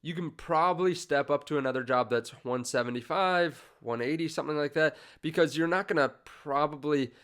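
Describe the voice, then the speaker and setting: A man's voice: a little high, a little fast, few pauses, a bit bright, smooth. A congested young man in his twenties hosting a finance channel, talking casually to camera with many fillers and restarts. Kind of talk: casual direct-to-camera talk.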